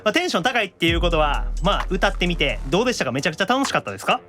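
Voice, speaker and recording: Male interviewer, high-pitched voice, lively intonation, chatty and attentive, music underneath. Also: very fast. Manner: attentive, frequent backchannels and echoing of the guest. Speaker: podcast host and interviewer. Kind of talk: casual interview chat.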